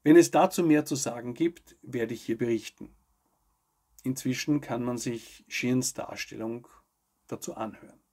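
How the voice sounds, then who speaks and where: A man hosts a news show. His mid-pitched voice is husky, with plenty of creak. He delivers scripted commentary in a measured, articulate way. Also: darkish tone. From Austria. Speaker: host of a weekly news video show.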